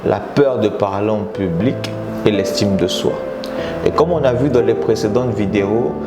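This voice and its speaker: Man, low voice, public speaking coach, live stream, roomy echo, loud guitar music. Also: normal speed. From Congo. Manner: teaching in numbered points, urging viewers to share. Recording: echoey room with loud guitar music behind.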